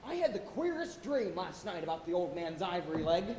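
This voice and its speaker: A man's voice: high-pitched, sing-song, over loud music. A man playing a jovial, fearless, teasing whaling second mate, in theatrical, old-fashioned sailor speech. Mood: wry storytelling. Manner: jesting, rhetorical, unhurried. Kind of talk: theatrical stage acting, archaic sailor speech. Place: college auditorium stage, live performance. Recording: echoey theatre stage.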